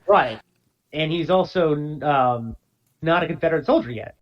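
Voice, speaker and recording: A man's voice: a little high, expressive, moderate pace, dull audio. A man co-hosting a podcast, talking in a rambling, agreeable way with many restarts. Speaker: podcast co-host. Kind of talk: casual podcast discussion.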